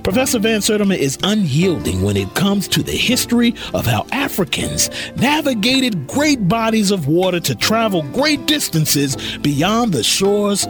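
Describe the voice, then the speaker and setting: A man with an American accent narrates from a script in a high voice that swings widely in pitch. His delivery is earnest, formal and reverent. Music plays clearly behind him. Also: very animated, moderate pace, hardly any pauses, fairly bright tone. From New Jersey, United States. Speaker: host, narrator.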